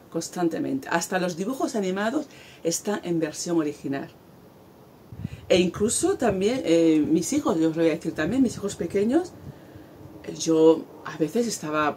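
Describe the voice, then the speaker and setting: Woman, Spanish accent, forties, lively vlog talk, warm mellow tone, faint outdoor background. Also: lowish voice, slight background noise. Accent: Spanish from Spain. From Spain. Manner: animated, gestures a lot with her hands. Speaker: vlogger. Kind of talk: casual talk to camera. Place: outdoors in a garden.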